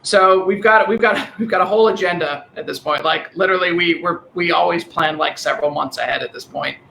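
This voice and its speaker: A man with a high, thin voice and a Delaware County accent, a musician guest on a remote podcast call, rambling and restarting often. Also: creaky, bright, forceful tone, roomy sound, slight background noise, rough recording. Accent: Delaware County, Pennsylvania. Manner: rambling, halting with many restarts. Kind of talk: casual remote podcast chat. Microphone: dynamic vocal mic over a call.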